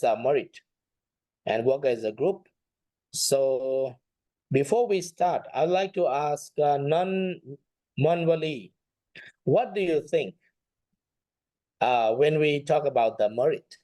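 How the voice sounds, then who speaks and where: A man's voice: fairly high pitch, takes it slowly, long pauses, warm tone, dry recording, low-quality recording. A man teaching an informal online class as a Buddhist monk, speaking hesitantly and often repeating phrases. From Nepal.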